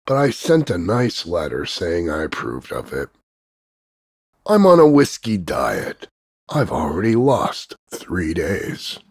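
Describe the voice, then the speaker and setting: A man voicing a cocky, crude macho action hero, gravelly and husky, delivering smug deadpan one-liners in a slow drawl of punchlines. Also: low-pitched, no echo, studio quality, heavily acted. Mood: smug, taunting. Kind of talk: voice-over demo of insults and one-liners.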